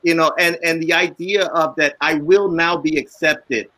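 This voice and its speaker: A man on a book panel telling personal anecdotes in a relaxed way, acting out the voices of people he quotes. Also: on the high side, normal speed, no echo, low-quality recording. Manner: relaxed storyteller, acts out quoted dialogue. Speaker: author and illustrator on a book panel; teaches a children's book class.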